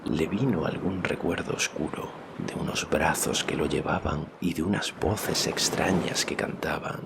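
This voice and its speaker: A man narrating a scripted fantasy story as an audiobook, with a measured, suspenseful delivery and a gravelly voice. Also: very deep voice, noisy background. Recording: music behind the voice.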